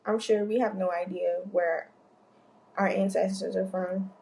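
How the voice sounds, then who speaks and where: A woman's voice: mid-range voice, fairly even intonation, measured pace, gravelly, dark tone, slight background noise, rough recording. A young woman co-hosting a podcast, joking in an offhand, slangy, casual chat. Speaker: podcast co-host.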